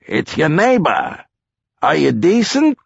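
A man voicing a brash, gruff police boss with a commanding, sardonic manner in an audiobook. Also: fairly low pitch, lively intonation, measured pace, a bit bright, dry recording, highs cut off, voice pushed up, exaggerated voice. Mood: bold.

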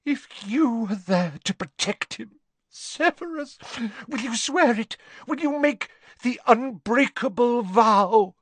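A man's voice: animated, slow, no room sound, full character voice. A man voicing a desperate, tearful aristocratic mother begging for her son in an audiobook reading. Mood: frantic begging. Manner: tearful, begging, high strained pleading.

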